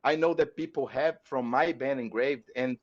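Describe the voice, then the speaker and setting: A man from Brazil speaking English with a Brazilian accent, a metal musician chatting on a podcast, halting and repeating words as he searches for them. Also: mid-range voice. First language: Portuguese. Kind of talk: casual podcast chat. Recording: dull lo-fi audio.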